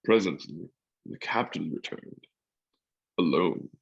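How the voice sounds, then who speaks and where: A man's voice: low-pitched, expressive, slow pace, gravelly, husky, highs cut off. A man giving scripted storybook narration as the narrator of a radio drama.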